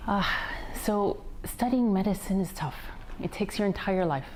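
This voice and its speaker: An American woman in her forties, a physician and company founder, answering interview questions in a measured, thoughtful way with frequent ums. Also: medium pitch, expressive, darkish tone, slight background noise. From United States.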